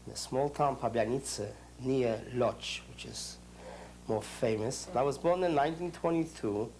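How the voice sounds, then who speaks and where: A man's voice: medium pitch, a little slow, a little mellow, slight background noise, low-quality recording. An older man from Poland speaking plainly in short statements as an interviewee.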